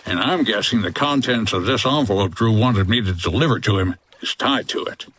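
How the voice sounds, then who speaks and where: An actor playing a wry 1950s hotel owner and boat skipper in a noir comedy audio drama, dry and terse. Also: male voice, on the low side, brisk, a bit bright, slight background noise, natural voice. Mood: hard-boiled. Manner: dry, hard-boiled, terse.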